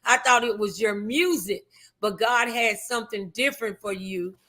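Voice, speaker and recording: A woman hosting a faith-based interview show, speaking in an encouraging, affirming, gently preachy way. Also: medium pitch, normal speed. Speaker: talk show host.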